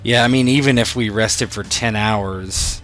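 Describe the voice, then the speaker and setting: A man's voice: fairly low pitch, unhurried, a bit bright, soft background music. A man playing a tabletop game, chatting in a loose, rambling way. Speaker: player. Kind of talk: casual chat.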